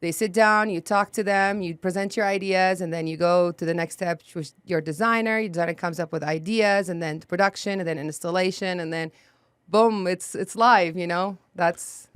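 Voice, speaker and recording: Low-voiced woman from Iraq, chatty guest. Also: moderate pace, smooth, dry recording. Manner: chatty, frequent "you know" and "um". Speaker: guest, sign business owner. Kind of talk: casual interview. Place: podcast studio.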